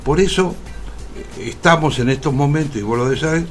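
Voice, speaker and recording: An older man with a Rioplatense Argentine accent, explaining things deliberately as a guest in a radio interview. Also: a little high, soft background music. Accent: Rioplatense Argentine Spanish. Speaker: interview guest, theatre actor.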